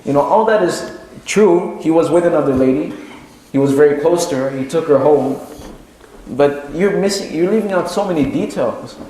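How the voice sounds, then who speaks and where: A man giving a religious sermon as an imam, speaking in a halting way and repeating phrases for emphasis. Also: on the high side, dark tone. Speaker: imam giving a talk to his congregation. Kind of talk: sermon, teaching from religious history. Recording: very echoey room, faint background noise.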